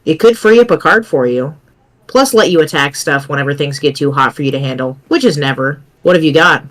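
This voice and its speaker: A woman voicing a cocky, deadpan teenage boy in an amateur dramatic reading of a webcomic. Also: sits low, brisk. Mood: cool, cocky. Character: cool, cocky teenage boy chatting online, teasing and bragging.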